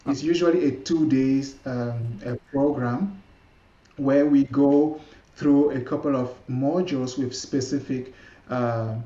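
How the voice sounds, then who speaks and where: A man whose first language is French, with a French accent, speaking as a podcast guest and coach in a casual interview, often halting and repeating words as he searches. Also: mid-range voice, fairly even intonation, warm tone. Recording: roomy, dull lo-fi sound.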